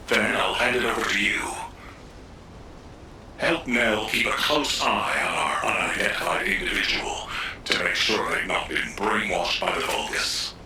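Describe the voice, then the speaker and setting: A man playing a grave military commander in a video game, giving a briefing in a measured, solemn way. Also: fairly low pitch, natural voice. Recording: roomy, distant, loud background noise.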